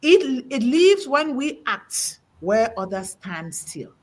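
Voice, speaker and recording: A middle-aged woman with a husky, breathy voice speaks to a panel in an emphatic, preaching manner. Her pitch swings widely as she quotes scripture and presses her points home. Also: sing-song, takes it slowly. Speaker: host. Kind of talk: preaching-style talk on a panel.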